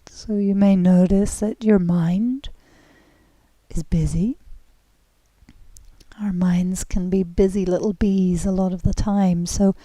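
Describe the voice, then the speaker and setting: Slow, soft-voiced woman guiding relaxation. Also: mid-range voice, steady tone, dry recording. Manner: gentle, soothing, unhurried with long pauses. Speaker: guided relaxation and yoga instructor. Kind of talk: guided relaxation, meditative instruction.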